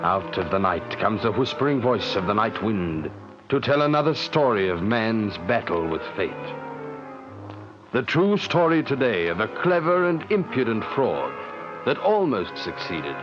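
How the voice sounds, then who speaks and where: A man's voice: fairly low pitch, sing-song, unhurried. A man narrating a radio drama series in a dramatic, sweeping style. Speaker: program narrator. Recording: muffled vintage radio with music bed.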